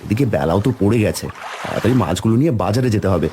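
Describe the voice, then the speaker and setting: Man as a fast, lively fisherman. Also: low-pitched, a little hiss or hum. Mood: relieved. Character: cheerful, hardworking village fisherman and market hawker. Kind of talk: cartoon folktale acting. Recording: faint music behind the voice.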